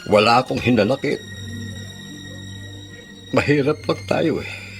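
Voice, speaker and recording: An actor playing a sickly, gentle, resigned father in a Filipino radio drama, with a weary, halting delivery. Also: mid-range voice, soft background music, lightly acted.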